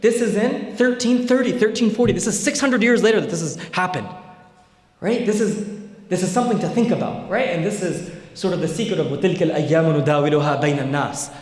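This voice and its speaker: A man's voice: sits high, racing, darkish tone. A young man giving an informal history lecture as an Islamic scholar, talking conversationally with many fillers and tag questions. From Bay Area, United States. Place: large echoey hall. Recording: echoey hall with long reverb.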